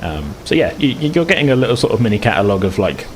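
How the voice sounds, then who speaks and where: A man with a very low voice acts as game master for a tabletop game. He explains the scene in a hesitant, rambling flow, making it up as he goes. There is some faint noise behind him. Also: sing-song, quick pace, poor audio. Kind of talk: improvised narration of a tabletop game.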